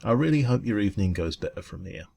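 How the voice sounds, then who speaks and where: Man voicing a deep, creaky, gentle dragon café owner. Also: fast talker, full character voice. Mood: gentle, reassuring. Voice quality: soft, calming. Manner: gentle, reassuring, chatty. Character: kind, friendly male dragon café owner closing up shop. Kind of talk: ASMR roleplay.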